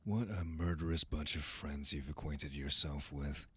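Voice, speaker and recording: A man's voice: rumbling low, measured pace, pushed and bright, slightly rough, exaggerated voice. A man playing a haughty, duty-bound, coldly disdainful figure in a fantasy audio roleplay, speaking in a formal, condescending way.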